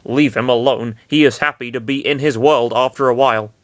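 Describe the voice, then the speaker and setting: A man's voice: medium pitch, fairly even intonation, fairly quick, no room sound, lightly acted. A man voicing a friendly young male monster trainer in a comic reading of fan fiction, earnest and encouraging.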